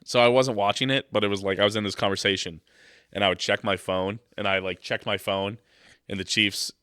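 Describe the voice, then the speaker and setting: A man's voice: fairly low pitch, steady tone, fairly quick, pushed and bright, clean tone, no room sound, studio quality. Stuffy-nosed young man hosting a podcast. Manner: rambling, joking, loose. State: stuffed up from a cold. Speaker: podcast host. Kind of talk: casual sports podcast chat. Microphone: podcast microphone.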